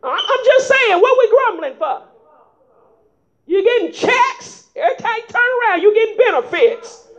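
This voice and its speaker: A woman preaching a sermon in folksy vernacular, rising into shouts and prodding the congregation with repeated calls of "huh?" in a dull lo-fi recording. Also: extremely high pitch, sing-song, moderate pace. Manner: emphatic call-and-response preaching with frequent "huh?" prompts.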